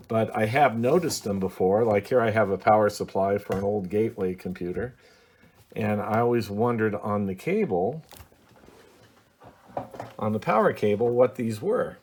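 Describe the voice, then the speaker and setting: A man's voice: on the low side, a little mellow. A middle-aged man casually explaining a hobby project in a home office, hesitant and plainspoken with frequent ums. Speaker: radio hobby video host. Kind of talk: casual how-to demonstration.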